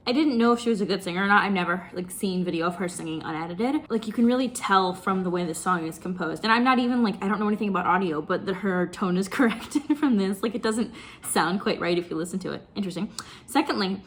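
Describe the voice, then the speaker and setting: A woman's voice: medium pitch, slight background noise. A woman doing casual reaction commentary in a wry, amused, sarcastic way. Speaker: reaction video host.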